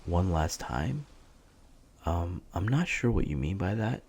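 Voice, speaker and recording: A man playing a gentle, anxious helpline counsellor in an audio drama, halting, earnest and reassuring. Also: sits low, very animated, slight background noise, exaggerated voice. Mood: awkward, concerned. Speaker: helpline operator. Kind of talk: audio drama phone-call acting.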